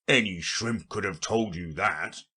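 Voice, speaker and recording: A man voicing a solemn, impatient male gryphon, speaking slowly in a deep, husky voice. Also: pushed and bright, exaggerated voice. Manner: slow and solemn, turning impatient.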